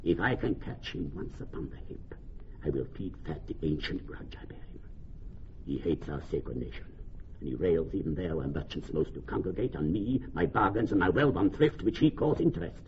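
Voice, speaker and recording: A man playing a shrewd, resentful Venetian moneylender in classical Shakespearean radio drama, deliberate and theatrical, musing over repeated phrases. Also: low-pitched, animated. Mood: bitter, hateful. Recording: dull, lightly noisy old radio recording.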